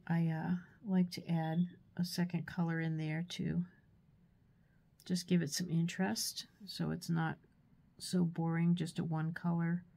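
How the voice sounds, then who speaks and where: A woman with a stuffy nose from a cold, giving a relaxed, chatty painting tutorial with fillers and soft asides. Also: lowish voice, a little slow, creaky. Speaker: art tutor.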